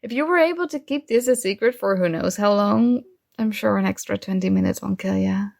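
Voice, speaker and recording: A woman's voice: mid-range voice, brisk, vocal fry, darkish tone, no echo, studio quality. A woman acting a young roommate who is shy, teasing and smitten with a friend, in a playful, conversational ASMR roleplay. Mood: teasing, confident.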